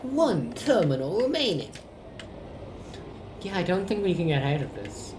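A man's voice: high-pitched, huge pitch swings, measured pace. A young man chatting casually as he plays a co-op video game, thinking aloud hesitantly. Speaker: gamer playing co-op on a shared controller. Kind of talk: casual gameplay chat. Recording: roomy, clearly noisy home recording.

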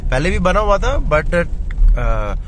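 A man's voice: sits high, big pitch swings, slow. A man giving casual, explanatory travel commentary while driving, often repeating himself. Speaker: travel vlogger. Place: inside a moving car. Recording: clear car and road noise.

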